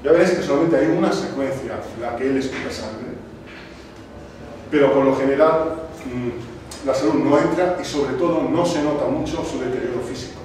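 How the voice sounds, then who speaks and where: Hombre con acento sardo en sala con eco. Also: male voice, mid-range voice, darkish tone. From Sardinia. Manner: thoughtful, with many pauses and restarts. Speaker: film presenter. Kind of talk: film talk to an audience. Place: cinema club screening room. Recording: echoey room, distant mic, noisy.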